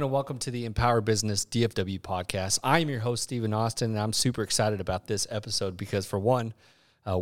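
A man hosting a podcast, enthusiastic in his intro and rambling when asking questions. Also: lowish voice. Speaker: podcast host. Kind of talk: interview hosting.

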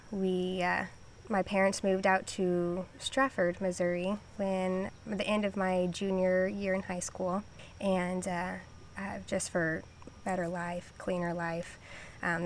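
A woman's voice: on the low side, a little hiss or hum. A woman from Southern California, a podcast guest, answering in a hesitant way with many ums and easy laughs. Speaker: podcast guest, army veteran. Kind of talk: casual interview.

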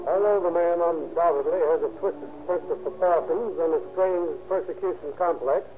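A solemn doctor , acted by a man gives findings in a vintage radio drama. The delivery is formal and measured, fairly high in pitch, over a phone-like recording with faint music. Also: close to an everyday voice. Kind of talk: 1930s radio acting. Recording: tinny old radio recording.

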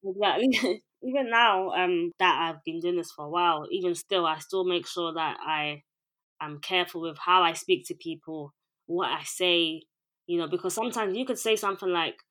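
Calm, level-voiced woman director. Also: fairly low pitch, moderate pace, low-quality recording. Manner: calm, even, self-described monotone delivery. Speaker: music video director and producer. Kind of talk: interview guest, casual conversation.

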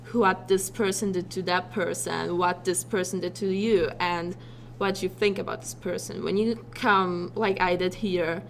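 A woman's voice: on the low side, fairly even intonation, a little hiss or hum. Chatty teenage girl. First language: Polish and German. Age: teens. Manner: chatty, many fillers, fast in bursts. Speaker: exchange student. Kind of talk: casual interview.

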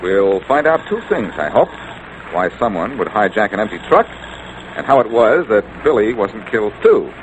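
Low-voiced wisecracking radio detective. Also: male voice, big pitch swings, moderate pace, dull audio. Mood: wry. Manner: wisecracking, nonchalant. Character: wry, flirtatious, self-assured male amateur detective. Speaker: lead actor in a detective radio series. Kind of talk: old-time radio drama acting. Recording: noisy vintage radio recording.

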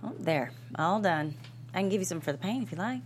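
An actress playing a plainspoken, patient small-town churchgoing woman, dry and gently teasing, in a comedy script read. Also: lowish voice, animated, a little fast, close to an everyday voice. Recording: clear background hum and noise.

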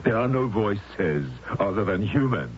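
An actor playing a stern, coldly formal wartime interrogator with a patronizing, measured manner in an old-time radio drama. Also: male voice, fairly low pitch, animated. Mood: coldly menacing. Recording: muffled old radio recording, faint hiss.

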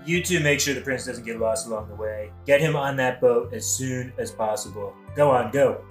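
A man's voice: medium pitch, moderate pace, natural voice. A man playing an exasperated, scheming king in a satirical modern-language audio play, with a dry, blunt manner. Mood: brisk. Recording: roomy echo, faint music behind.

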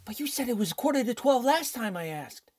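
Man playing a husky, hard-nosed young lawyer. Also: very high-pitched, big pitch swings, brisk, darkish tone, heavily acted. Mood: tense. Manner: tough, urgent. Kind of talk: old-time radio drama acting.

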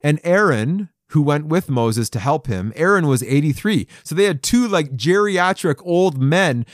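A man's voice: on the high side, lively intonation, measured pace, no room sound, clean studio sound. A man in his forties giving a folksy, encouraging sermon to men, with playful asides and chuckles. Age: 40s. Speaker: men's devotional speaker. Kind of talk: conversational podcast sermon.

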